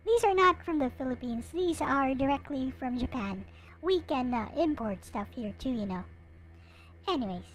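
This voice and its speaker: A woman hosting a livestream, chatting casually with viewers in a halting way and often muttering to herself. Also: high-pitched, expressive. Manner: halting, muttering to self while setting up. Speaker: livestream host.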